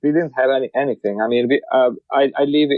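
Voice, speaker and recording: Hungarian-accented man, emphatic and halting. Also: mid-range voice, dry recording, phone-quality sound. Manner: broken English, frequent repeated words and restarts. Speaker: guest, car wrap shop owner. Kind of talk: casual interview.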